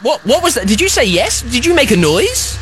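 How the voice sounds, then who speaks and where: A man's voice: very high-pitched, a little fast, faint music behind. Playful man hosting kids' radio. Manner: teasing, boastful, exaggerated excitement. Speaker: children's radio host. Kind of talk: playful quiz game with a child caller.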